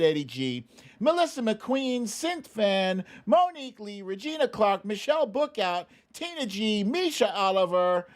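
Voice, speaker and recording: A livestream host chatting casually and reading out names in a playful, informal, self-deprecating way. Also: mid-range voice. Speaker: livestream host running a song-guessing game.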